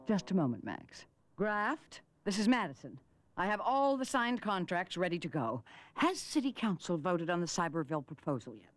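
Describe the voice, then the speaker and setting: A woman's voice: fairly low pitch, very animated, normal speed, dry recording. An actress playing a scheming, power-hungry corporate villainess and mother in a cartoon, imperious and theatrical. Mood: brisk command. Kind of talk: animated series voice acting.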